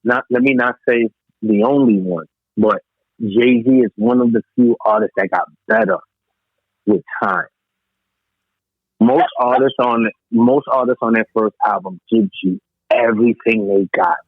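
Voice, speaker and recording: A man's voice: medium pitch, expressive, measured pace, creaky, no room sound. Man on a thin phone line. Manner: emphatic, repeats phrases for emphasis. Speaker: podcast co-host. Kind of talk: casual opinionated music discussion.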